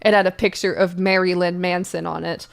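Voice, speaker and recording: A woman's voice: lowish voice, level tone, a little fast, vocal fry, clear, resonant tone. A woman hosting a mock-dramatic reading of fanfiction, playful and theatrical, with casual asides. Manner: playful, theatrical, spells out misspellings. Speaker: host reading fanfiction aloud.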